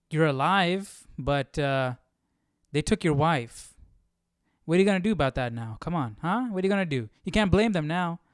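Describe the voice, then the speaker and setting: A man's voice: on the high side, clean tone, no room sound, clean studio sound. A man hosting and reacting casually to a reading, with exclamatory, conversational asides. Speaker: host.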